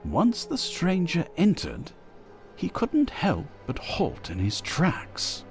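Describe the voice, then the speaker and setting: A man reads scripted fantasy narration as the storyteller of an audio drama. His mid-pitched voice is slow and measured in pace, yet lively in intonation. Soft music sits underneath. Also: creaky.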